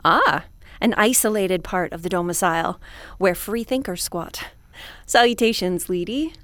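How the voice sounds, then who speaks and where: A woman playing an officious, weary space station commander hosting a broadcast in an audio drama, speaking in a formal, measured, bureaucratic way. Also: lowish voice, a bit bright, no echo. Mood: solemn, ceremonial. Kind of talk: audio drama acting, broadcast host address.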